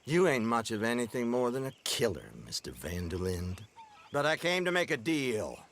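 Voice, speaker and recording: Forceful, pompous lawman. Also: medium pitch, big pitch swings, normal speed, a little hiss or hum. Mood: stern, bargaining. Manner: pompous, declamatory. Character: self-righteous, menacing American lawman, scornful and formal. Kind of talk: dramatic video game acting.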